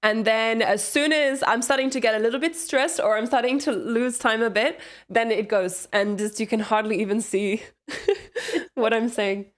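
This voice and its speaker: A woman's voice: a little high, normal speed. A woman commentating on a chess game, chatty and explaining moves with light laughs.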